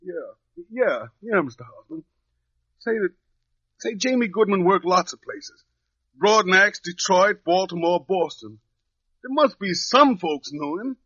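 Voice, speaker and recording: An actor playing a wrongly jailed young drifter in a radio drama, with plain folksy, uneducated speech, pleading and resigned. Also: male voice, high-pitched, sing-song, a little slow, sharp tone, breathy edge, no echo, full character voice. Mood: earnest. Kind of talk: 1950s radio drama acting. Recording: dull lo-fi old radio recording.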